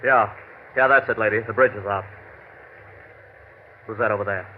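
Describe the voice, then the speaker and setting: An actor playing a suspicious, menacing western drifter in an old radio drama, rough, folksy and wary. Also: male voice, lowish voice, fairly even intonation, normal speed, natural voice. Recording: noisy, tinny vintage radio recording.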